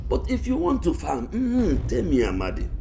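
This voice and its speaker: A man's voice: fairly high pitch, wildly swooping pitch, moderate pace, gravelly, mellow, a little hiss or hum, full character voice. An actor with an Igbo Nigerian accent playing a middle-aged, blustering titled village man in a film drama, pompous and dismissive. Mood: irritated.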